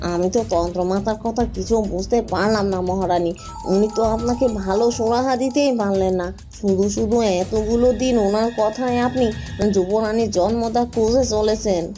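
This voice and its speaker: A woman's voice: medium pitch, normal speed, dark tone, faint music behind, close to an everyday voice. A woman voicing a doubtful, complaining palace companion in a cartoon fairy tale.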